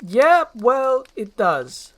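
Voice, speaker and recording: A woman voicing a gruff, wounded male ogre with a sardonic manner in a fairy-tale roleplay. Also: a little high, big pitch swings, extremely slow, voice pushed up, heavily acted. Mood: bitter, hurt. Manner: bitter, forceful, sardonic.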